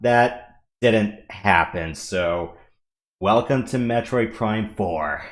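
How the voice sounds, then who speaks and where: A man co-hosts a casual stream over voice chat. His voice sits somewhat low, and he speaks in a plain, matter-of-fact way, patiently walking through technical trouble. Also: very slow. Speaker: co-commentator.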